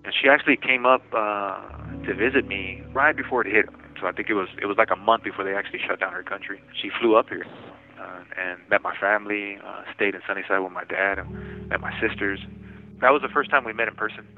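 Man, 40s, low voice, halting speech, tinny phone line. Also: soft background music. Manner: halting, frequent restarts and repeated words. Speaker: interviewee in prison. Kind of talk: casual phone interview.

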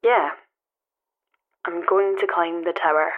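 Restless woman on a phone line. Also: fairly low pitch. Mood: quietly determined. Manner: hesitant, halting, natural phone chat. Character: restless, anxious, sleepless young woman set on climbing a tower. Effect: telephone filter. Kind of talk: naturalistic audio drama acting. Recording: thin, narrow telephone line.

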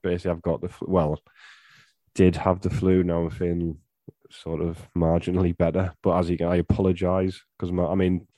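A man speaks in a very deep voice, nasal and stuffed up from a flu. He jokes about himself in a dry, deadpan way. The sound is close and dry with no room. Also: fairly quick, no room sound. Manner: dry self-mocking humour. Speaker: podcast co-host. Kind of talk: casual football podcast chat.

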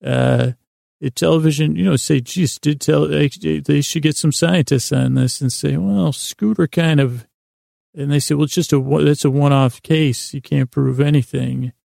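Male podcast host, heavy vocal fry and relaxed tone, delivering a meandering, self-interrupting bedtime monologue. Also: on the high side, no room sound, studio quality. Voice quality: gravelly, creaky. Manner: meandering, halting, self-interrupting, gently silly.

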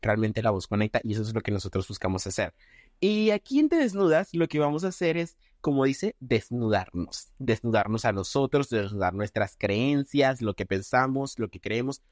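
A man's voice: medium pitch, dry recording, studio quality. A man in his twenties with a Venezuelan accent, hosting a casual podcast chat and often restarting his sentences. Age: 20s. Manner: halting, many restarts and fillers. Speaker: podcast host.

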